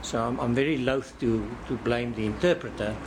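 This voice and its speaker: A man cross-examining a witness in court, deliberate and probing, often repeating words as he frames a question. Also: medium pitch, background noise.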